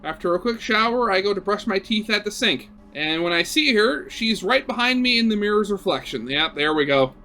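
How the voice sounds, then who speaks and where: A man's voice: high-pitched, expressive, a little fast, hardly any pauses, bright, forceful tone. A man reading a visual novel aloud as a gaming video host, with casual, rambling commentary.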